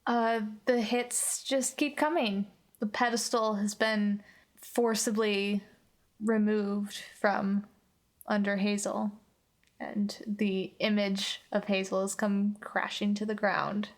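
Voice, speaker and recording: Slow-paced woman playing a tabletop game. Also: medium pitch, flat delivery. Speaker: player on an actual play podcast.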